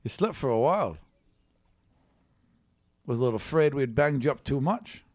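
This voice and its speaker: A man's voice: mid-range voice, expressive, normal speed, a little mellow, no echo, studio quality, voice pushed up, close to an everyday voice. A man voicing a big male captor who talks in a teasing, familiar way. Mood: amused, taunting. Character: big gruff male captor, amused and mildly concerned.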